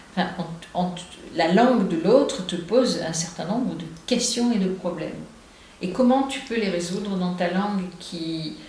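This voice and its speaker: A woman with a Marseille accent speaks in a thoughtful, halting way and restarts her sentences often. The room around her is strongly echoey, with a faint hiss underneath. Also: lowish voice, a little mellow. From Marseille, southern France. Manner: thoughtful, with many hesitations and restarts. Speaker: poet and translator. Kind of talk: reflective interview talk.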